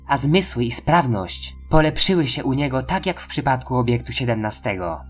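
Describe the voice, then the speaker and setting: Very low-voiced woman acting a formal female scientist. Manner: formal, clinical. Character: female research scientist, deferential, clinical, reporting to superiors. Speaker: voice actress in audio drama. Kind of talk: acted scientific briefing in a Polish audio drama.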